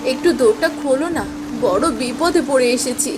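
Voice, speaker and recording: A woman acts a desperate, poor village mother for a cartoon tale. Her voice sits high and sounds plaintive, always pleading and worried. Faint rainy noise lies behind it. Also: animated, moderate pace. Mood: anxious pleading. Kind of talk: Bengali moral-story cartoon voice acting.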